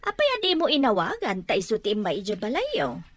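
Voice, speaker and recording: An actress playing an inquisitive, fussing auntie neighbour in a radio drama. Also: female voice, medium pitch, wildly swooping pitch, a little fast, slight background noise. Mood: probing, concerned.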